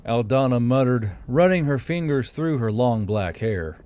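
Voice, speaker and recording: A man's voice: medium pitch, lively intonation, measured pace, mellow, clear, resonant tone. A man narrating a fantasy audiobook in a scripted storytelling style. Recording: music behind the voice.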